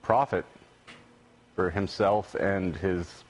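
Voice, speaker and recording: A man speaks as an interview guest in a slightly low voice. His manner is dry and sardonic, with hesitant phrasing as he recounts things. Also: fairly even intonation, takes it slowly, a little hiss or hum. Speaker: interview guest, editor and prank caller.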